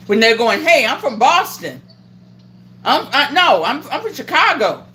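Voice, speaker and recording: A woman's voice: sits high, very animated, unhurried, bright, forceful tone, slight background noise. A woman hosting a livestream, talking politics in a profane, indignant way and acting out the voices of people she quotes. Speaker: livestream host. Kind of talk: heated political chat on a livestream.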